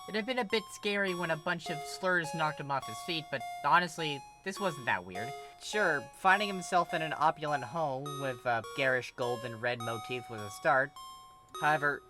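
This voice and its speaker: A male narrator with a slightly high voice reads fiction expressively. Background music plays clearly behind him. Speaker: narrator, fan fiction reader. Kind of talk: expressive scripted story narration.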